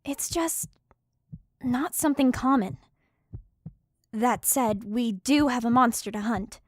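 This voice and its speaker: A woman acting as a young female fantasy adventurer. Her voice is high and polite, with a reasoning, matter-of-fact manner, recorded dry for an audio drama. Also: slow, no room sound. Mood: reasoning, uneasy. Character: Nyla, level-headed, gently doubting young female adventurer.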